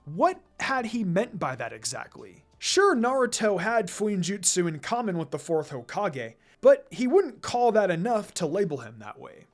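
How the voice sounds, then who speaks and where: A narrator reading fan fiction aloud in an expressive storytelling style. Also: mid-range voice, moderate pace, few pauses, faint music behind.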